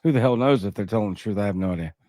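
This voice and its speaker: A man's voice: on the low side, rapid-fire, warm tone, no echo, studio quality. A man with a Cajun accent hosting a casual livestream, reading news and viewer comments with skeptical, rambling asides. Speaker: livestream host.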